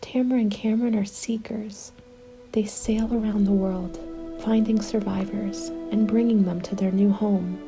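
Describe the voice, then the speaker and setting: A woman narrating a scripted first-person ASMR story in a soft, measured, often whispery delivery. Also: mid-range voice, steady tone, poor audio. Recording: background music under the voice. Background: music.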